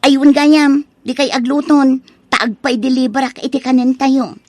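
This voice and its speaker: A woman's voice: high-pitched, level tone, measured pace, a little mellow, no room sound. A woman playing a vain, money-minded young village bride-to-be in a comic radio drama, with an Ilocano Filipino accent. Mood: haughty. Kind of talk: comedic radio drama acting.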